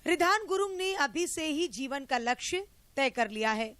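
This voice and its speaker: A woman news reporter narrating a scripted report in a crisp, formal way. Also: sits high, expressive, normal speed, a bit bright.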